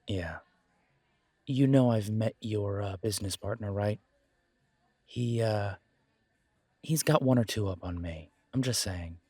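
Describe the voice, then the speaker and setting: A young werewolf alpha acted by a man for an audio roleplay, loyal and protective with a wry edge. The voice is husky and unhurried, with hesitant pauses, recorded close and dry. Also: fairly low pitch, darkish tone, no room sound. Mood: wry, teasing.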